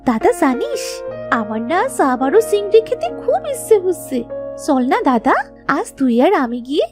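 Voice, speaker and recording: Little sister, extremely high childlike voice, animated, eager, pleading, easily scared. Children's cartoon. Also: female voice, normal speed, music in the background.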